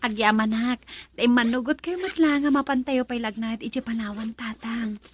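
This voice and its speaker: A woman's voice: on the high side, moderate pace, music in the background, natural voice. A Filipino woman playing a dutiful, respectful young daughter in a radio drama. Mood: hesitant, grateful. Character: soft, dutiful young daughter talking to her father.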